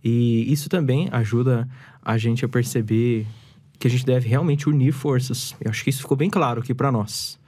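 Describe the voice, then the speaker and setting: A man's voice: medium pitch, moderate pace, mellow, husky. A Brazilian man hosting a church podcast, formally thanking his guests as the show closes. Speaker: podcast host.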